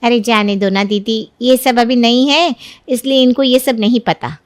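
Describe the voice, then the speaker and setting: A woman voicing a brisk younger mother-in-law who scolds but softens easily. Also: on the high side, dry recording, clean studio sound, close to an everyday voice. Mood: soothing.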